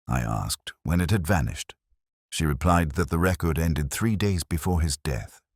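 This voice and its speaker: A man narrating a first-person detective story in a measured, reflective, literary way. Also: extremely low pitch, no echo, clean studio sound.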